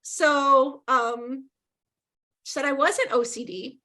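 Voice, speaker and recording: A middle-aged woman from Indiana, a podcast guest chatting casually, rambling and laughing easily in a loud voice. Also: sits high, fairly even intonation, extremely slow. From Indiana, USA. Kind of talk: casual interview chat.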